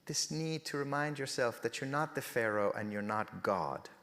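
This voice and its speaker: A man's voice: medium pitch, normal speed, fairly bright tone, in a large hall. A middle-aged man whose first language is Persian, speaking earnestly at a faith festival and telling stories with rising emphasis. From Iran. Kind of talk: reflective spoken talk.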